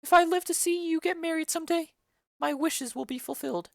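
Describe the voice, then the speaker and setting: A woman voicing a chattering, bawdy old female nurse in a dramatic reading of a play, rambling and loud. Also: extremely high pitch, fairly quick, vocal fry, no echo, clean studio sound. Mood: gossipy. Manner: loud, rambling, giggly storytelling.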